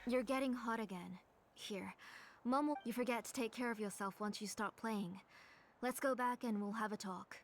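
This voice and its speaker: A woman playing a gentle, attentive, polite mother in an anime fantasy video game. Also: fairly high pitch, normal speed, a little hiss or hum, lightly acted. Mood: gentle, caring.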